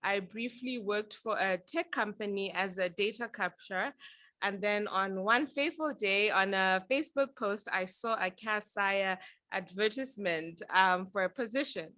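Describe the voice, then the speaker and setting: A young woman from Zambia talks through her career path at a panel event in an earnest, reflective way. Her voice is bright and forward, recorded close and dry with almost no room sound. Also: mid-range voice, unhurried, no echo. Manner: earnest, reflective, with some filler ums. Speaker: panel speaker. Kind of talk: prepared personal talk at a panel.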